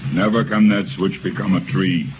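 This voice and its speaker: Actor as a grandiose orating chief, tinny radio. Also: male voice, low-pitched, steady tone, moderate pace, lightly acted. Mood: solemn, conciliatory. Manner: solemn, flowery oratory. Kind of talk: 1930s radio acting. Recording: noisy, tinny 1930s radio recording.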